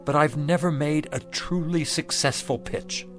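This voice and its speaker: An actor playing a humble but wily down-on-his-luck street pitchman in a radio drama, talking in a folksy, earnest manner. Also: a little slow, soft background music, close to an everyday voice. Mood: earnest, wistful. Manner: folksy, earnest, expressive talk.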